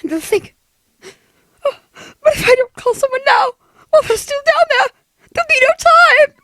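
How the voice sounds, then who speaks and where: An actress playing a frightened, demanding invalid wife alone at night in a radio thriller, with an imperious, nervous delivery. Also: female voice, very high-pitched, big pitch swings, moderate pace, a bit bright, no room sound, heavily acted. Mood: alarmed. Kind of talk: old-time radio thriller acting.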